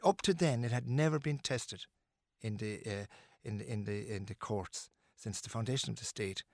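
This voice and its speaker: A man's voice: lowish voice, normal speed, darkish tone, no echo, studio quality. A middle-aged Irish man, a musician being interviewed, talking earnestly and emphatically with many restarts and fillers. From Ireland.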